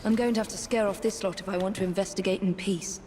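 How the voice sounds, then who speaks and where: A woman's voice: medium pitch, fairly even intonation, fast talker, background noise, natural voice. A woman voicing a grim young assassin. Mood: determined. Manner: grim, resolute. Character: determined young woman assassin hunting a killer. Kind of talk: video game cutscene acting. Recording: game audio with loud music behind.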